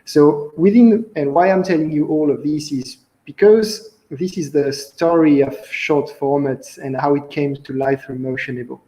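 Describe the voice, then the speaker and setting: A young man with a French accent talks through a conference presentation on a video call. His voice sits a little high with a soft, mellow tone, and he keeps things loose and conversational, dropping in "uh" as he goes. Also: moderate pace, hardly any pauses, rough recording. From France. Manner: relaxed, conversational with frequent "uh" fillers. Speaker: conference speaker, company founder. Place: indoor room, on video call.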